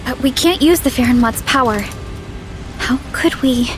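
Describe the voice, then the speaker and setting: A woman playing an excitable, feisty young girl fighter in a video game. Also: high voice, moderate pace, lightly acted. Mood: worried. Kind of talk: animated game voice acting. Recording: loud music behind the voice.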